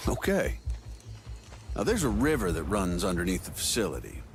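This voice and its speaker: An actor playing a breezy, smooth-talking older agency operative with a folksy, wheedling manner in a video game cutscene. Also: male voice, low-pitched, huge pitch swings, moderate pace, music in the background, exaggerated voice. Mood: breezy, cajoling.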